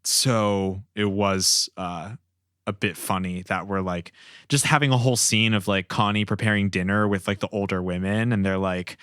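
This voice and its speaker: Guy hosting a podcast, kinda low clear voice, dry sound, chatty discussion. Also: steady tone, normal speed, fairly bright tone, dry recording. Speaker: podcast host. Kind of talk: conversational media discussion.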